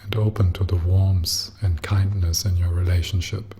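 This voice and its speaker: A man leading a guided meditation as a teacher, speaking gently and softly in an unhurried manner with long pauses. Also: low voice, little pitch movement, a little hiss or hum.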